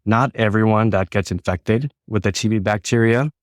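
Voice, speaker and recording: A man from the Marshall Islands with a very deep voice. He speaks fluently and professionally as an interview guest. The sound is dry and close. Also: normal speed, no echo. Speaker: disease intervention specialist.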